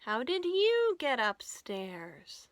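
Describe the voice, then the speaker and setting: A woman's voice: high-pitched, huge pitch swings, extremely slow, no echo, heavily acted. A woman voicing a puzzled, kindly male night watchman in a storybook, exclaiming and questioning. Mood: friendly surprise.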